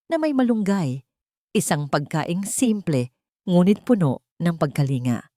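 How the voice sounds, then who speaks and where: A woman's voice: fairly low pitch, warm tone, no echo, clean studio sound. A woman with a Filipino accent reading a scripted story as a dramatic audiobook narration.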